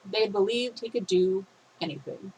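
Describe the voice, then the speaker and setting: A woman reading a fan fiction story aloud in a steady, expressive way, her voice a little phlegmy. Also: medium pitch, moderate pace, a little hiss or hum, poor audio.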